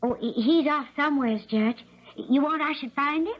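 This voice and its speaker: A woman's voice: high voice, normal speed, natural voice. An actress playing an anxious, devoted young backwoods Southern girl with a plaintive rustic drawl, in 1970s radio drama style. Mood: hesitant, neighborly. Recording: dull, muffled 1970s radio broadcast.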